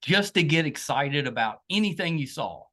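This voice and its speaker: An older man speaks as a podcast host in a bright, forceful voice pitched a little high. His manner is effusive, and he tends to repeat phrases for emphasis. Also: lively intonation, moderate pace. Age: older, grandfather. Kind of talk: podcast host talk and interview.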